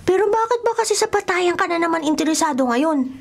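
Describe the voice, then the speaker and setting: A woman's voice: extremely high pitch, lively intonation, fast, gravelly, full character voice. A woman playing a gossipy, skeptical young boy, someone's best friend, in a radio drama.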